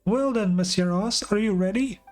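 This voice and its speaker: A man's voice: sits high, normal speed, faint music behind, pitched above the performer's own voice, lightly acted. A man voicing a small, chatty, childlike female fairy companion from a video game, exclamatory and inquisitive. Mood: eager. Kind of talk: video game dialogue read aloud.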